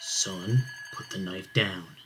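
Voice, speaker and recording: A man playing a stern, blunt police officer who speaks with measured authority in a dramatic horror story. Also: low voice, steady tone, noisy background. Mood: commanding.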